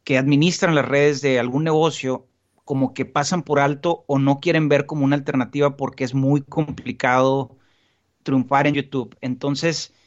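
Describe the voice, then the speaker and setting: A man's voice: mid-range voice, level tone, moderate pace. Earnest talkative man, muffled audio. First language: Spanish. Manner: earnest, rambling with many restarts and fillers. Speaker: guest. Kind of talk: casual podcast conversation.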